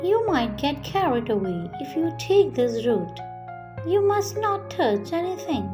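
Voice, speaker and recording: A wise, ragged male bird from a storybook, acted by a woman, talks slowly and helpfully. The voice has a raspy edge and careful phrasing, with music behind it. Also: high voice, very animated. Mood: solemn warning.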